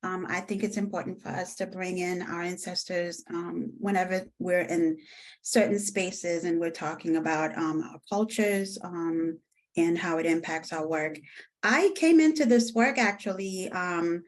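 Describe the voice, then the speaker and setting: A woman in her thirties from Haiti, speaking reflectively and hesitantly as a panelist in an online discussion, with many pauses and fillers. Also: on the low side. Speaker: panelist, deputy director.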